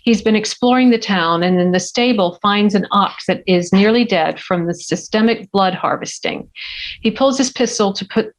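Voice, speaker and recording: A woman with a medium-pitched voice narrates a screenplay. She reads scripted scene descriptions and stage directions as the narrator of a table read. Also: normal speed, rough recording.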